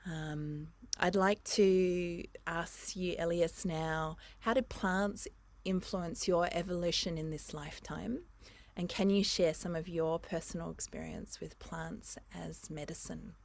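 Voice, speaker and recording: A woman hosting a conversation, speaking gently and hesitantly with soft fillers. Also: on the low side, steady tone, slow, gravelly. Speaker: host. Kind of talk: reflective casual talk.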